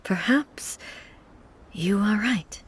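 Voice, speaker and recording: A woman playing a composed, reserved, almighty female ruler in a video game, speaking formally and with measured calm. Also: mid-range voice, background noise. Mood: gently reassuring. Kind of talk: English game dub acting.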